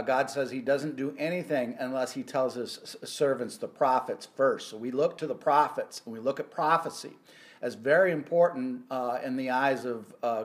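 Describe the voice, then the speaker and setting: A middle-aged man hosting a weekly Bible prophecy talk, speaking earnestly with frequent halting restarts, recorded indoors on a close phone camera. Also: medium pitch. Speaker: ministry host. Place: indoor office or home room.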